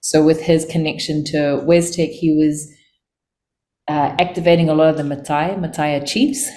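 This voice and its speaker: A woman in her forties from Samoa and New Zealand, talking in a relaxed, thoughtful way with frequent pauses as an interview guest. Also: low voice. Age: 40s. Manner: relaxed, thoughtful, many ums. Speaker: chocolate maker guest. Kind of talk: casual interview talk.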